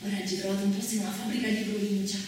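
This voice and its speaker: Female theatre storyteller, played by an actress, mid-pitched, recorded from a distance with heavy echo. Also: flat delivery, racing, darkish tone, close to an everyday voice. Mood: narrating. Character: female stage storyteller recounting women's lives. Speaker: stage actress. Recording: distant, very echoey, noisy stage recording.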